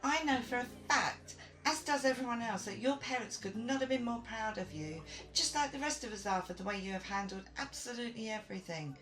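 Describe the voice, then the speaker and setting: A woman playing a loyal, cheeky friend who is always rushing, brisk, blunt and teasing, in an audio roleplay. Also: a little high, lively intonation, fairly bright tone, faint music behind, low-quality recording.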